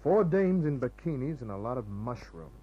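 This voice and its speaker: Husky, hardboiled radio detective. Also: male voice, medium pitch, very animated, normal speed. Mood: wry, terse. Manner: terse, clipped, wry. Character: wry, hardboiled male private detective, cool-headed. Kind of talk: radio noir narration and dialogue. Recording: muffled old radio recording.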